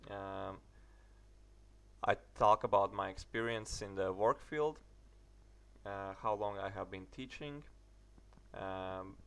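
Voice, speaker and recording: Low gravelly man walking through a tutorial. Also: a bit bright. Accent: British English. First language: English. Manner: halting, many fillers and restarts. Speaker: tutorial narrator. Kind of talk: screen-recorded tutorial walkthrough.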